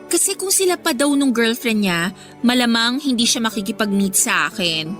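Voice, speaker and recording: High-voiced, coy Filipino schoolgirl. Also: animated, moderate pace, fairly bright tone, faint music behind. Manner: coy, hesitant, pleading. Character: coy, placating teenage schoolgirl best friend. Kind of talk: Filipino radio drama acting.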